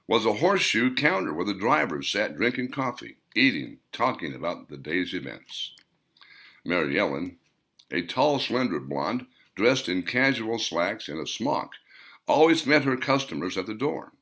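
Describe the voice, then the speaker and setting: A man reading his own story aloud in a plain, unhurried narrating manner. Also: fairly low pitch, sing-song, pushed and bright.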